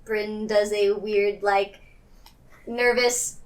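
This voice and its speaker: A woman with a fairly high voice plays a tabletop game, narrating slowly in halting bits. She is slightly away from the microphone. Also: little pitch movement, recorded from a distance. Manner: hesitant, halting. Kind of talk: casual improvised roleplay narration.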